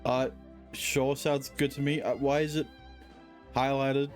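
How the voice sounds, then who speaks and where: A man's voice: medium pitch, level tone, a little slow. A man streaming a game casually, reading dialogue choices aloud and adding offhand, skeptical asides. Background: game music.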